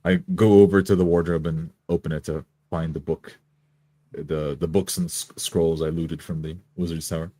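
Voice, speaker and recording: A man's voice: extremely low pitch, level tone, moderate pace, warm tone. A man playing a tabletop game, improvising his character's actions in the first person.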